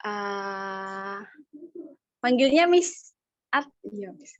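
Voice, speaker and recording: A woman's voice: medium pitch, animated, extremely slow, lo-fi sound. A young woman student from Maluku answering her lecturer in an online class with short, hesitant replies, rain outside. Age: young adult.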